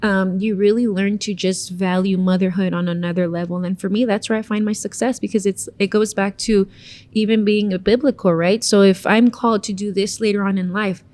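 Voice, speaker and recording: A woman's voice: medium pitch, fairly even intonation, fairly quick, darkish tone. A young woman who is a mother, talking earnestly about motherhood and faith in a casual podcast chat. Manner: earnest, heartfelt, quotes herself often. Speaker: podcast co-host.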